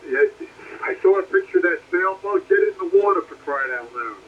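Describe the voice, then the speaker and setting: A man's voice: high-pitched, lively intonation, fairly quick. A man from Brooklyn with a Brooklyn accent, talking bluntly and joshingly on ham radio. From Brooklyn, New York. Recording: distant, dull radio signal with faint noise.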